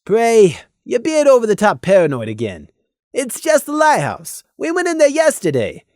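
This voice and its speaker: A man's voice: extremely high pitch, wildly swooping pitch, normal speed, darkish tone, no echo, clean studio sound, voice pushed up, exaggerated voice. A man voicing a reassuring, level-headed winged pony sergeant in a fantasy audio storybook. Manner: reasoning, reassuring.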